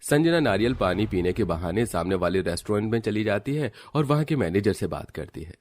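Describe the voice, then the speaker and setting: A man narrating a cartoon story in a steady, explanatory way. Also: lowish voice, a little fast, faint music behind.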